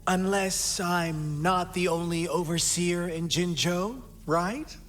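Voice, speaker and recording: Smug game villain guy, drawn out taunting, kinda high for a man, big echoey reverb. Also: a bit bright, faint music behind. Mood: mocking. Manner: slow, theatrical, mocking. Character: sinister male cult overseer, theatrical, taunting, smugly courteous villain. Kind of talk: dramatic video game voice acting.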